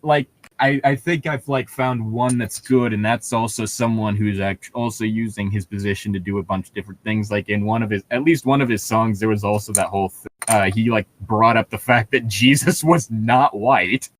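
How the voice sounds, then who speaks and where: A man's voice: fairly low pitch, fairly even intonation, normal speed, few pauses. A man in a casual, opinionated chat, talking in a rambling, sweary way.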